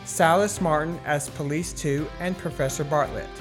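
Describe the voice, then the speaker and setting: A woman announces from a script. Her voice is very deep for a woman, and guitar music plays clearly behind it. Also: steady tone, normal speed. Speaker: credits announcer.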